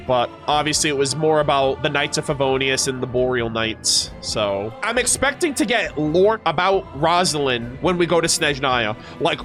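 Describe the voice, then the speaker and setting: High-voiced, slangy male reaction host. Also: sharp tone. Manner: slangy, sweary, enthusiastic. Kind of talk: casual excited commentary.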